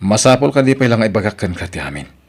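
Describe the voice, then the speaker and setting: A man's voice: on the low side, sing-song, quick pace. A man acting the part of an emotional, pleading husband and father in an Ilocano radio drama, with an Ilocano accent. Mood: defensive, weary. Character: weary, wronged Ilocano husband and worried father.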